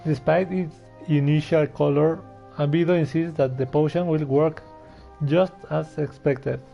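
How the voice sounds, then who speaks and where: Slow, creaky-voiced man playing a game. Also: fairly high pitch, fairly even intonation. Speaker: game streamer. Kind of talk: casual playthrough commentary. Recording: dull mic, faint game music behind. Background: faint game music.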